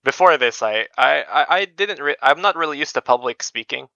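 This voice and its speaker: A young man from Indonesia chatting casually as an interview guest, hesitant and modest, with a clear, smooth voice. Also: medium pitch, expressive, fairly quick, pushed and bright, no room sound, dull audio. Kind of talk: casual chat.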